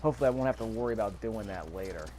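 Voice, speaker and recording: A man's voice: on the low side, animated, very fast, darkish tone, a little hiss or hum. A man giving casual gameplay commentary, thinking aloud to himself as he plays. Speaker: gamer recording a gameplay video.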